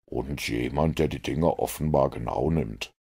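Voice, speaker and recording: A man voicing a cool, shrewd gentleman detective in an audiobook, with a measured, dry, authoritative manner. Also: extremely low pitch, gravelly, slightly rough, pitched below the performer's own voice, heavily acted. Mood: cool, courteous.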